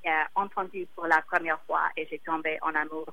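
A woman singer whose first language is English, speaking French haltingly and often pausing to search for words. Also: low-pitched, level tone, vocal fry, narrow, tinny audio, slight background noise. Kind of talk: interview in a second language.

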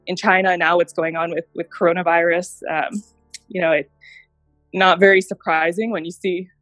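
A woman in her thirties, raised in Japan, speaking as an interview guest and reflectively recounting how she came to work in healthcare, with thoughtful pauses. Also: lowish voice, little pitch movement, fairly bright tone, dry recording, soft background music. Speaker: guest, healthcare innovation director. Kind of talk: interview conversation.

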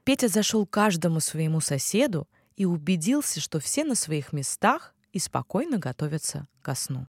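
A woman narrates a children's fairy tale with a soft, sing-song rhythm, her fairly low voice rising and falling in a lively way. The recording is close and dry, with no room sound. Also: moderate pace, few pauses, slightly rough, dry recording. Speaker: storyteller.

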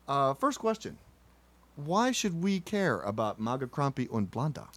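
A man's voice: medium pitch, big pitch swings, measured pace, fairly bright tone. A man hosting a comedy podcast, asking questions in a dry, matter-of-fact way. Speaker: podcast host. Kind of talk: mock interview, dry comedy.

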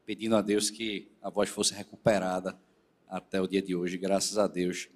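A man, a presbyter leading a church service, a little nasal and hoarse from a cold, speaking earnestly to the congregation. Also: low voice, little pitch movement, normal speed, big-room sound. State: still a bit hoarse after the flu. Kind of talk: sermon with scripture reading.

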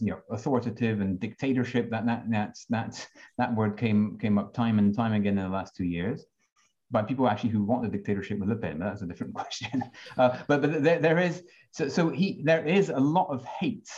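A man's voice: medium pitch, warm tone, muffled recording. A French-born man on a discussion panel, talking with many restarts and fillers. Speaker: panel guest.

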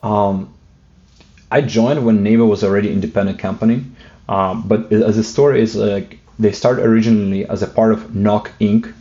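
A man with a Russian accent talks in a low, dark voice that barely rises or falls. He speaks hesitantly, with many fillers and restarts, and now and then slips in a light joke. The sound is muffled and lo-fi. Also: steady tone, normal speed. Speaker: guest. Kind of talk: casual interview talk.

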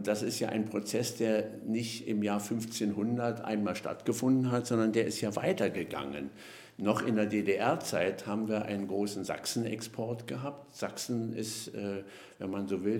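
A man, a linguist, explaining at length in a podcast interview, measured and lecturing, with frequent hesitation fillers. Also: lowish voice, darkish tone, roomy sound. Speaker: linguist guest.

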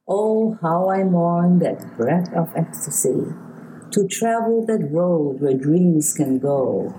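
A woman's voice: deep voice, dark tone, slight background noise, poor audio. An older woman from Hawaii with a Hawaiian accent, a poet reading her work and telling stories of her childhood in an interview. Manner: slow, measured, reflective storytelling. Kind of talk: poetry reading and interview answers.

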